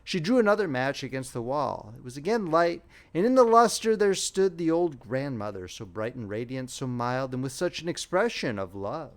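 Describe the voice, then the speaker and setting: A man narrating a fairy tale as an audiobook reader, in an expressive, theatrical storytelling manner. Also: medium pitch, normal speed, few pauses.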